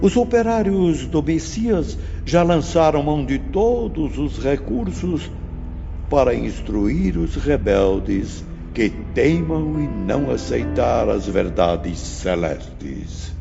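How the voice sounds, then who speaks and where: An actor playing a stern, learned spirit teacher in a dramatised audiobook, lecturing in a solemn, emphatic, oratorical way. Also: male voice, medium pitch, sing-song, slow, creaky, full character voice. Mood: urgent, exhortative. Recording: muffled, long reverb, music behind.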